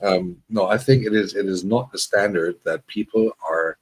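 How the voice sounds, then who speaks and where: A man's voice: fairly low pitch, moderate pace, slightly rough. A man from Germany, hosting a casual livestream chat and rambling with many restarts and self-deprecating asides. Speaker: livestream host.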